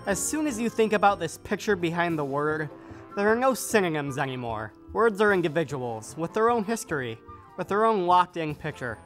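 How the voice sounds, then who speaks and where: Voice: medium pitch, very animated, brisk, a bit bright, soft background music. A readers theater performer reciting quoted prose from a script.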